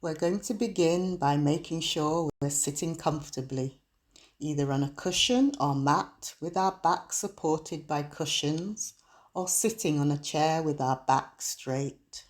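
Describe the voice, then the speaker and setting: A woman guiding a meditation in a soothing, measured, unhurried manner. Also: sits low. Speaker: meditation guide. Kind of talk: guided meditation narration. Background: soft soothing music.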